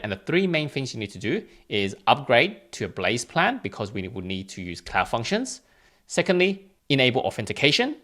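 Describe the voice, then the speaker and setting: A man's voice: medium pitch, a bit bright. A man hosting an instructional screencast, explaining things step by step in a plain, informal way. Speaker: tutorial host.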